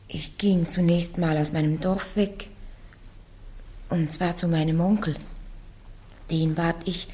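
A woman's voice: fairly low pitch, warm tone, natural voice. An actress playing a blunt, fearless young peasant woman on trial in a radio play. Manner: blunt, defiant, plain-spoken.

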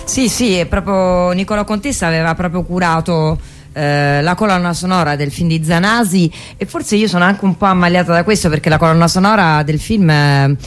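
A woman's voice: lowish voice, a little fast. A woman talking casually about music on the radio, hesitant and often repeating herself as she searches for words. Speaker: radio co-host. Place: radio studio. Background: faint music.

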